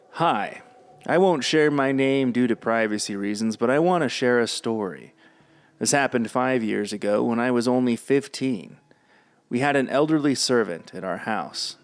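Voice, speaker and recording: A man co-hosting a podcast, reading listener stories aloud and dropping in dry sarcastic asides. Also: medium pitch.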